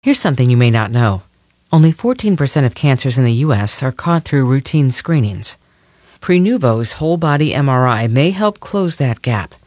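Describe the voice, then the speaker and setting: A man's voice: mid-range voice, sing-song, moderate pace, darkish tone, dry recording, clean studio sound. A man reading a scripted health advert as a voice-over.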